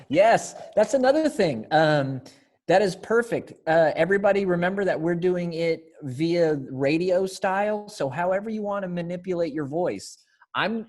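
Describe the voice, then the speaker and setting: A man's voice: a little high, a little mellow. A young man directing a readers theater group over a video call in a casual, encouraging way. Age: young adult. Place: indoor room by window, video call.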